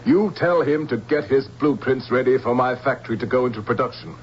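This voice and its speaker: A domineering military commander in a radio play, acted by a man. He is curt and suspicious, with a medium-pitched male voice. The old broadcast is dull with faint noise. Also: brisk, close to an everyday voice. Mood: brisk, commanding. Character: stern, overbearing male general. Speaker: actor in a radio drama. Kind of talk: 1970s radio drama acting. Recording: slightly noisy, band-limited old radio.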